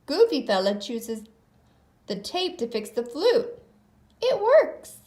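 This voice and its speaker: High, animated woman reading a storybook. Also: takes it slowly. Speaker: storybook reader. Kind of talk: expressive children's story narration.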